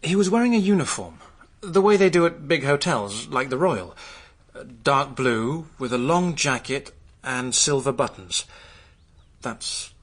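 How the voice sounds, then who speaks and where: A man playing a muddled, plainspoken witness in a radio drama, recalling things in a vague, halting way. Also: medium pitch, animated. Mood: uneasy recollection. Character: muddled, unhurried boozy male witness, plainspoken.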